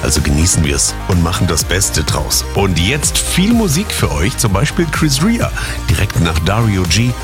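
A male radio host presents in an upbeat style. His voice is deep and lively, with music playing behind. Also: normal speed.

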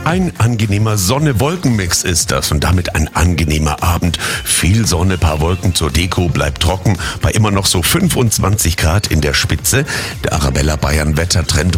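Very deep-voiced man reading the weather. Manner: easygoing, lightly playful. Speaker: weather presenter. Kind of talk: relaxed radio weather report.

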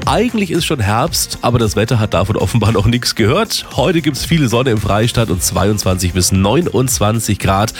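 A man hosting a radio show, chatting in a relaxed, jokey way. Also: on the low side, moderate pace, hardly any pauses. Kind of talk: casual radio moderation. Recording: music bed behind voice.

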